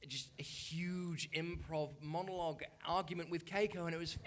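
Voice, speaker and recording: A man's voice: on the high side, fairly even intonation, normal speed, a little hiss or hum. A man talking casually as a panelist in a convention room, into a microphone. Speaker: panelist, voice actor. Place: convention meeting room with an audience.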